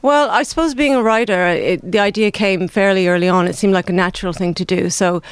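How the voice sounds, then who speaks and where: A Canadian-accented author answering interview questions in a measured, reflective way. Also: mid-range voice, clean tone, dry recording. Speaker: author guest.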